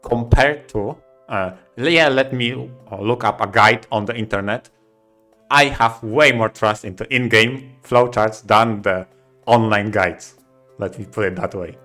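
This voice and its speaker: Non guy on a gaming podcast, bright sharp voice, emphatic, bit of music behind. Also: on the low side, measured pace. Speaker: podcast co-host. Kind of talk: casual podcast discussion of video games.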